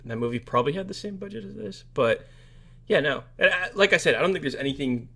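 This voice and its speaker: A man's voice: on the high side, lively intonation, quick pace, vocal fry, bright, forceful tone. A man co-hosting a casual film podcast, chatting informally and somewhat tentatively, often trailing off. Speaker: podcast co-host. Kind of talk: casual movie discussion podcast.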